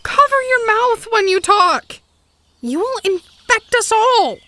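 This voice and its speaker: A woman's voice: very high-pitched, expressive, slow, pushed and bright, exaggerated voice. A woman playing a bossy, exasperated, haughty queen in a comic stage-style audio drama. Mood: commanding, alarmed. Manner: commanding, alarmist, haughty.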